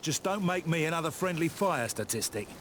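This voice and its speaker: Blunt soldier, played by a man, swinging pitch. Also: lively intonation, brisk, heavy background noise, natural voice. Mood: dry, businesslike. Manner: dry, blunt, sardonic. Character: gruff, cocky British special forces soldier. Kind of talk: video game dialogue over military radio. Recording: loud background music.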